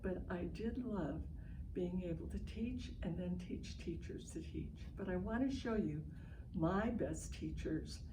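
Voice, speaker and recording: Older American female educator, emphatic and instructive storyteller, informal online lesson, distant roomy sound with clear noise. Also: on the low side, measured pace. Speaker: literacy educator hosting an online session. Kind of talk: informal educational presentation to families. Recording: echoey, distant mic, noisy online stream.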